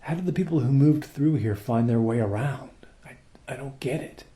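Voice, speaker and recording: Junior crewman, male actor, mid-range mellow voice, pensive, deferential, unmoored, naturalistic radio play. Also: mid-range voice, moderate pace, natural voice. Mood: unsettled, bewildered.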